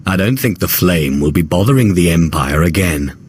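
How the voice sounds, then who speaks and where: A man's voice: deep voice, lively intonation, moderate pace, slightly rough. A man playing a composed, self-assured Sith lord in a video game, cool and terse. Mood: firm. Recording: game music behind the voice.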